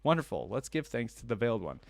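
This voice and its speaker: A man's voice: mid-range voice, very animated, quick pace, gravelly, darkish tone, no echo. A man reading the storybook dialogue of a wise, patient village aunt teaching a child. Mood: gentle admonishing. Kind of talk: storybook dialogue read aloud.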